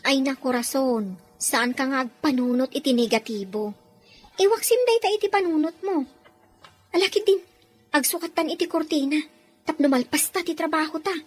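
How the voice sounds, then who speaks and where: Woman doing high voiced filipino maid in a radio drama, blunt and bold, music faintly under. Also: moderate pace, close to an everyday voice. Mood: reassuring. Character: outspoken Filipino housemaid, plain-talking and bold. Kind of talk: Filipino audio drama acting.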